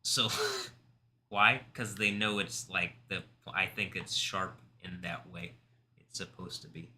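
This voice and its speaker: A man hosting a live stream, talking in an intense, rambling way, confrontational at times and soft-spoken at others. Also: low-pitched, steady tone, slow, slight background noise. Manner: calls himself soft-spoken. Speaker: livestream host.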